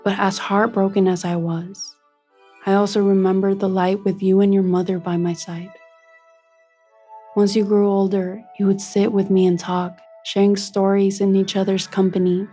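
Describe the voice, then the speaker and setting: A woman voicing a gentle, grieving corn spirit. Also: medium pitch, lightly acted. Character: grieving elder corn-plant sister spirit. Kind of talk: scripted storybook narration.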